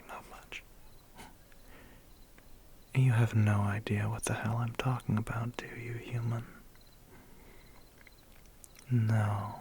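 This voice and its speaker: A man's voice: mid-range voice, lightly acted. A man as a smug whispering vampire biker. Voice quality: breathy whisper. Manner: slow, condescending, teasing. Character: smug, menacing, centuries-old male vampire biker. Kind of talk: ASMR roleplay acting.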